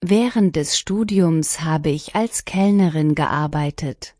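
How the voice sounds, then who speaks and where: A woman reading short lesson sentences one at a time, each clearly and deliberately, for learners to repeat. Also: sits low, a little mellow, no room sound, clean studio sound. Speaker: language lesson reader. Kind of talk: scripted shadowing practice reading.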